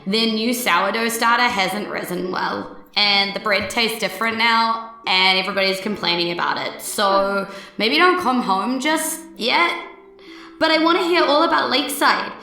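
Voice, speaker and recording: A woman's voice: medium pitch, normal speed, creaky, pushed and bright, reverberant, far from the mic, pitched above the performer's own voice. A woman voicing a sweet young girl who reads out her letter to her granny in an eager, breathless way.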